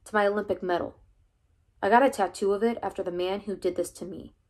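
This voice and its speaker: A woman voicing a firm middle-aged male track coach in an audiobook, with a flat, hard delivery. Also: medium pitch, fast talker, close to an everyday voice. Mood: stern.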